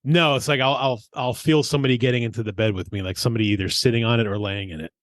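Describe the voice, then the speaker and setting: A man's voice: medium pitch, fairly even intonation, racing, dry recording, studio quality. A middle-aged man in casual podcast conversation, telling personal stories in informal, sweary language. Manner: profane, informal. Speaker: podcast co-host. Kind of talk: casual chat and personal storytelling.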